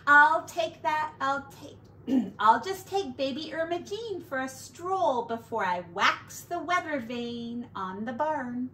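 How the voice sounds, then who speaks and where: A woman's voice: high-pitched, slow, pushed and bright, distant mic, a little hiss or hum, exaggerated voice. A woman voicing a fussy, tidy farm mother who chides and fusses over cleanliness. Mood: prim. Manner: prim, houseproud, chiding.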